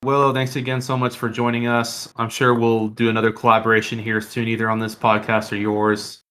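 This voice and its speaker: A man's voice: mid-range voice, monotone, brisk, pushed and bright. A man co-hosting a podcast, chatting casually with his guest and fellow host.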